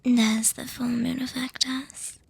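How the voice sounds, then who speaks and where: A very breathy whisper from a woman playing an affectionate young vampire girlfriend in an ASMR roleplay. She speaks extremely slowly and softly, with an even, narrow melody, hesitating with little hums as she gently reassures and teases. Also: high voice, dry recording, full character voice. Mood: gentle explaining.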